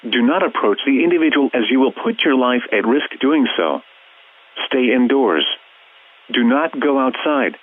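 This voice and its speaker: A man's voice: medium pitch, expressive, normal speed. A synthetic male voice with an American accent, formally and impersonally reading scripted emergency weather alerts like a radio announcer. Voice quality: synthetic text-to-speech voice. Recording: muffled, phone-like weather radio broadcast.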